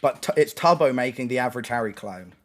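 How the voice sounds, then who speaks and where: A young man, joking and rambling fast in a casual gaming stream chat. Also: mid-range voice, slight background noise.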